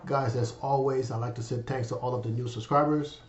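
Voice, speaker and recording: A man in his fifties with a cold and a raspy voice, hosting a casual finance vlog and rambling to viewers with lots of fillers. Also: medium pitch, steady tone, fairly quick, a little hiss or hum. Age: 50s. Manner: rambling, many fillers, addresses viewers as guys. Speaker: finance channel host. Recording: echoey room, dull lo-fi sound.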